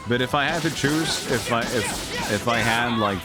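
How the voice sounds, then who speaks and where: A man's voice: mid-range voice, moderate pace, loud music behind the voice. A man giving casual live commentary as a game streamer, musing in a rambling way.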